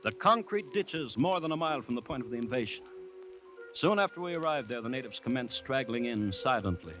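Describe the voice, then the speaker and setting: A man acting an anxious commissioner who narrates a 1940s radio drama in a measured, dramatic way full of dread. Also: mid-range voice, expressive, music in the background, close to an everyday voice, low-quality recording. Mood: tense narration. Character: anxious male government commissioner recounting a dreadful siege. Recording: restored 1940s radio broadcast.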